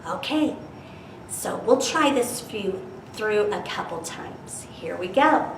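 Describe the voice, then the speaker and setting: A woman reading aloud as a children's storyteller, expressive and dramatic, talking to young children. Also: mid-range voice, slow pace. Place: indoor room, virtual storytime. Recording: roomy, distant mic with background noise.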